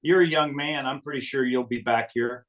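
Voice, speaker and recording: Casting: male interviewer, mid-pitched, conversational, heard some way off the microphone. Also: fairly even intonation, moderate pace, a bit bright. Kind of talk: casual conversation. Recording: distant from the microphone.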